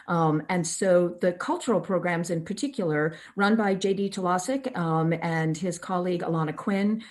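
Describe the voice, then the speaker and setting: A woman's voice: fairly low pitch, darkish tone. A woman co-hosting an online event, giving conversational introductory remarks over a video call. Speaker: event co-host.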